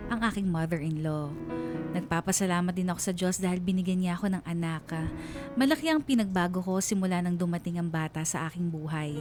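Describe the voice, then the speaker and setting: A woman's voice: on the low side, a little mellow, natural voice. A woman playing a reflective wife and mother, narrating her story in a heartfelt radio drama style. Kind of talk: scripted first-person radio drama narration.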